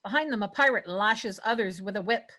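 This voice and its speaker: A woman with a medium-pitched voice reads scene headings and action lines. It is scripted narration for a table read. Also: fairly quick, sharp tone. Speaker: narrator reading stage directions.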